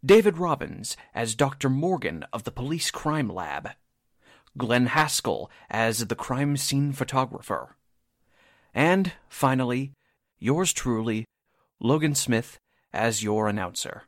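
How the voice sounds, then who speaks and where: A man's voice: mid-range voice, sing-song, takes it slowly, no room sound, clean studio sound. A man reading scripted credits as a radio show announcer, formal and presentational.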